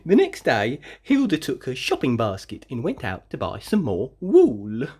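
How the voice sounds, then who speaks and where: A woman reading a children's story aloud expressively, with dramatic emphasis and drawn-out words. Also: a bass voice, wildly swooping pitch, a little mellow, slightly rough. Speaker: storybook reader.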